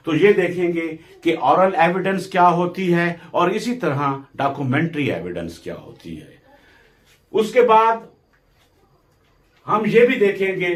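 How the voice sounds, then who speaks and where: A Pakistani man lectures in a very slow, deliberate way, with a slightly high-pitched voice. He sounds like a law teacher explaining step by step, slipping English legal terms into his sentences. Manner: didactic, deliberate, switches between Urdu and English legal terms. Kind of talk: instructional lecture.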